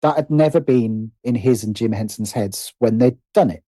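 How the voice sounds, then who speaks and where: A man speaking as an interview guest in a casual, conversational way, animated but halting, often restarting and repeating phrases as he thinks aloud. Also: mid-range voice, no echo. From Britain. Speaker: interview guest, writer and producer.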